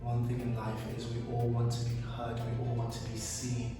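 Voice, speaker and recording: A man's voice: mid-range voice, monotone, fairly quick. A man from Sierra Leone, a life coach, chatting as a guest in an upbeat, reflective way. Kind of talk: casual interview chat. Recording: roomy reverb, distant mic, music behind.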